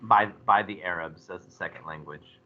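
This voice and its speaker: A man teaching a history class, lecturing in a lively way and putting questions to his students. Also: low voice, normal speed, highs cut off, a little hiss or hum. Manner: animated, asks the class questions.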